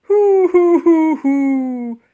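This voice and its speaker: A young female mammoth from a children's storybook. She calls in high, swooping, wordless hoots, loud and excitable but gentle in temper. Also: very slow, lo-fi sound, full character voice. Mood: loud, excited.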